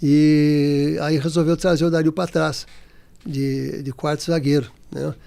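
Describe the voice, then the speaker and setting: Gravelly-voiced Brazilian man in his sixties. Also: fairly high pitch, little pitch movement, warm tone. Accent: Brazilian Portuguese. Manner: halting, many restarts and fillers. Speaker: interviewee, former footballer. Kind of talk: casual interview.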